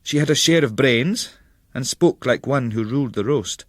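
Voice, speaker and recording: Man, literary audiobook narration, medium pitch, formal measured storytelling, dry close sound. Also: no room sound. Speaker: narrator, reader of a travel memoir.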